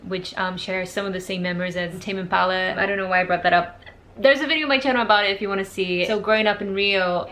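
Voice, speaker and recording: A young woman from Portugal, raised in Brazil, chatting casually on her vlog as a music photographer, animated and quick through her asides. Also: medium pitch. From born in Portugal, raised in Brazil. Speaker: music photographer and channel host. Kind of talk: casual vlog chat to camera. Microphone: camera's built-in mic. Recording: faint room noise, no external mic.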